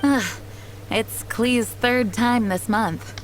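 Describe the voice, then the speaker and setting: A woman's voice: on the high side, extremely slow, a little hiss or hum. A woman voicing a responsible, considerate young commander in a video game, composed and formal in manner. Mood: exasperated. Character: responsible, kindly young female acting commander.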